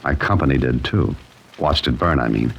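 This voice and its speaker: Cool dry radio detective, super deep voice w lots of gravel, old-time mystery show. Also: male voice, moderate pace, muffled recording, a little hiss or hum. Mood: tense, determined. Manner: dry, wisecracking, clipped. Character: wry, cool-headed male amateur sleuth narrating his case. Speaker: narrator and lead character. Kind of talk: old-time radio mystery acting, hardboiled first-person narration.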